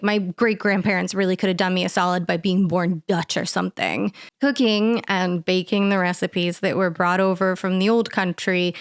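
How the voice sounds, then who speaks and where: A woman's voice: fairly low pitch, clear, resonant tone, dry recording. Dry-witted woman narrator, close and dry. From United States. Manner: dry, sarcastic humor with casual swearing. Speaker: video essay host. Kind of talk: casual voice-over narration over cooking footage.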